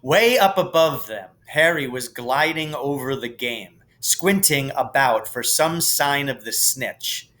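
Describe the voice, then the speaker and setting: Bright-voiced man narrating a storybook. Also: mid-range voice, animated, takes it slowly, few pauses. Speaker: audiobook reader, teacher.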